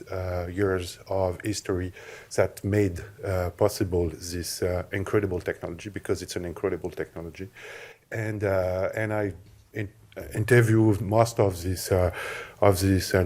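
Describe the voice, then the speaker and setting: A man from France with a French accent opens a press conference as author and moderator, speaking haltingly with many hesitations. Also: low-pitched, a little mellow.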